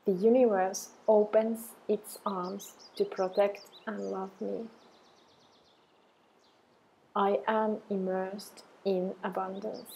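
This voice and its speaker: Female meditation guide. Very slow, even delivery with a creaky edge, soothing and measured. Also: medium pitch, a little hiss or hum, poor audio. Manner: soothing, measured, deliberate phrases with long pauses.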